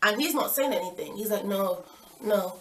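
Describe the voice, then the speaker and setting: A woman's voice: medium pitch, animated, moderate pace, fairly bright tone. A young woman tells a casual personal story, slangy and dramatic, acting out each side of the dialogue. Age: young adult. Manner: slangy, dramatic, quotes people with exasperated emphasis. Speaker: storytelling vlogger.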